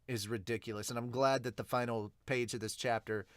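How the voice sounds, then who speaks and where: Male podcast host, mid-pitched, loose and informal, rambles through off-the-cuff reviews, close dry sound. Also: steady tone, fairly quick, fairly bright tone, no echo. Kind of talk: casual unscripted reaction and review.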